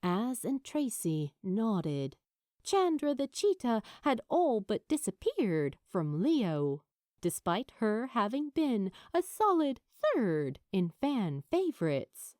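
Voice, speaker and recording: A woman narrating fiction from a script in a measured, expressive storytelling manner. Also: a little high, no room sound, clean studio sound. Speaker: amateur audiobook narrator.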